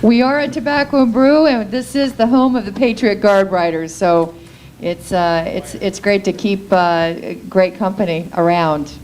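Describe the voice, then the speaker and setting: A woman's voice: medium pitch, measured pace, a little mellow, slight background noise, low-quality recording. An American woman co-hosting a live radio event, making casual announcements to the room. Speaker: radio show co-host.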